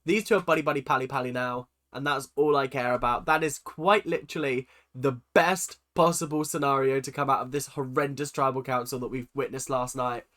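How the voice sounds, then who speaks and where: Bright, nasal man with a cold. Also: medium pitch, fairly quick, few pauses. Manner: excitable, chatty, swings between loud exclamations and muttered asides. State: stuffy-nosed from a cold. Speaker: reaction video host. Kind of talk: casual reaction commentary.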